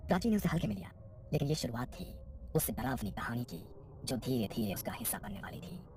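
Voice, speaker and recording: A woman's voice: very deep voice, sing-song, a little fast, creaky, background noise. A woman narrating a scripted horror story as its storyteller.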